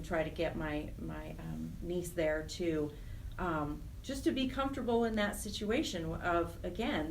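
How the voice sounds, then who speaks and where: A middle-aged woman speaking conversationally as an interview guest, earnest and often searching for her words. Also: on the low side. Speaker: interview guest, program director of a nonprofit. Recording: roomy, distant mic, faint noise.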